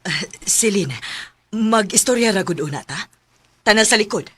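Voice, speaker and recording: A woman's voice: medium pitch, animated, moderate pace, fairly bright tone, husky, no room sound, faint music behind. A woman with a Cebuano accent playing a protective middle-aged mother, easily exasperated, in a radio drama. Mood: alarmed. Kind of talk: radio drama acting and narration.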